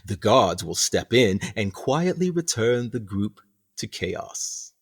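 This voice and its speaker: A sinister sea-captain type from a radio play, acted out by a man, low and husky. He is elaborately courteous and menacing, swooping through his phrases and savoring each dramatic pause. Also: big pitch swings, full character voice. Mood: smugly menacing. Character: sinister, theatrical male ship's captain running a prison colony.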